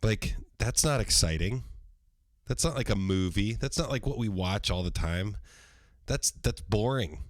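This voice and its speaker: A man with a deep, hoarse voice hosts his own podcast livestream. He talks in a loose, rambling way, swears freely and fills his pauses with ums. The sound is dry and close, with no room around it. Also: expressive, no room sound. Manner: rambling and profane, with many ums. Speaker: podcast host. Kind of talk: casual solo podcast livestream.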